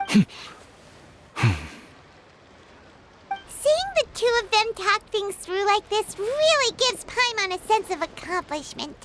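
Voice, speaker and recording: A woman voicing a small, chirpy, childlike fairy companion in a video game, squeaky and bubbly. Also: lively intonation, normal speed, very noisy. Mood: satisfied. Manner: refers to self by name, bubbly.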